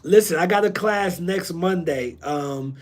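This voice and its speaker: Casual slangy man, slightly high voice. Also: measured pace. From New Jersey. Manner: loose, slangy, repeats himself with many ums. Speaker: real estate investor hosting a livestream. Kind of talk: casual livestream Q&A.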